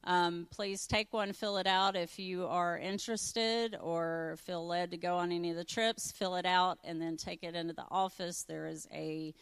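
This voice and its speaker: A woman's voice: on the low side, steady tone, normal speed, few pauses, clean tone. A woman giving chatty church announcements, with many fillers.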